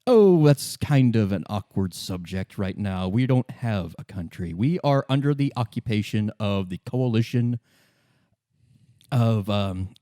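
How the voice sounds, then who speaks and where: A man's voice: fairly low pitch, lively intonation, unhurried, mellow, dry recording, studio quality. A man running a tabletop game as game master, improvising his narration in a hesitant, conversational way.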